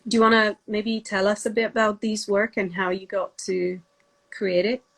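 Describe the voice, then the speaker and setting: A woman with a medium-pitched voice hosts a casual live interview. She is polite and a little tentative as she puts questions to her guest and addresses the viewers. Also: flat delivery, normal speed, low-quality recording. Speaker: interviewer, host.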